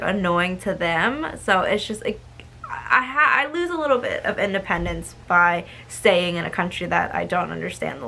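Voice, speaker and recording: A woman's voice: medium pitch, bright, forceful tone. A young woman talking casually to camera in a vlog, chatty, with frequent ums and likes. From United States. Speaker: vlogger.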